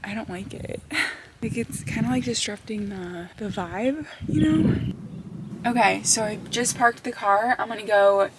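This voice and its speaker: A young woman vlogging to camera in a casual, upbeat way, full of "like" fillers, her voice hoarse and nearly gone. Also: medium pitch, measured pace, heavy background noise. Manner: chatty, upbeat, lots of "like" fillers. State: almost lost her voice, hoarse. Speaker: travel vlogger. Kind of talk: casual vlog talk to camera.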